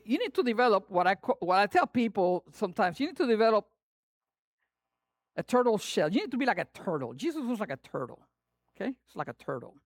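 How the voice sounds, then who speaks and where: A male preacher with a Latin American Spanish accent delivers a lively sermon. His voice sits high for a man and sounds close and dry. He is playful and animated, swinging between loud, punchy emphasis and quiet, hushed asides. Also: fairly quick, no echo. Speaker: pastor.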